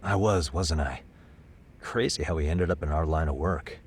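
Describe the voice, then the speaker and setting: Low-voiced, understated American private detective. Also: male voice, moderate pace, background noise. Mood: cool, casual. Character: cool, level-headed male private detective in his thirties. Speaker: detective agency owner. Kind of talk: English game dub acting with casual dialogue and narration.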